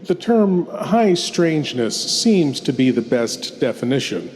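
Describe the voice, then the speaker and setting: A man hosting a horror show with scripted, theatrical delivery and deliberate pauses. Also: a little high, big pitch swings, a little mellow. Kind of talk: scripted horror host outro. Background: faint music.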